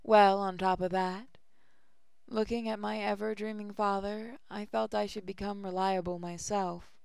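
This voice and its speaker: A woman's voice: mid-range voice, flat delivery, measured pace, gravelly, a little mellow, clear, resonant tone, dry recording, clean studio sound. A woman hosting a gaming channel, reading visual novel text aloud in a casual way. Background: music.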